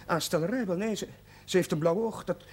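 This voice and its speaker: An actor playing a flustered, caring young chaplain in a television drama, talking in an agitated, halting way. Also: male voice, high voice, husky. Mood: flustered, urgent. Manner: agitated, halting, repeating himself. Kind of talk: naturalistic television drama acting. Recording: noisy, hissy old television soundtrack.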